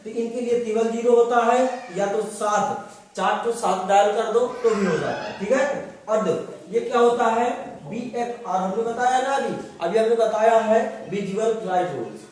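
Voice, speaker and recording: A man's voice: high-pitched, normal speed, a little mellow, slight background noise. A man teaching a class, explaining step by step and asking and answering his own questions. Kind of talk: classroom lecture. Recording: distant, very echoey room.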